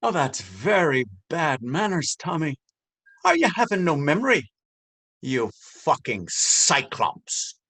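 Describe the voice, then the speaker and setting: Man playing a slow, taunting, brutal policeman. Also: a little high, very animated, a bit bright, heavily acted. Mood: mocking, menacing. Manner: mocking, drawling, menacing. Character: cruel, taunting, violent corrupt male police officer. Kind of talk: stage readers theater drama.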